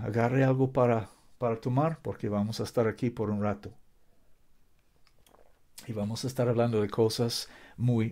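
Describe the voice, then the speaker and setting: A man's voice: medium pitch, dark tone. A man preaching a sermon in Spanish, which is not his first language, repeating himself emphatically with frequent restarts. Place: home in Costa Rica.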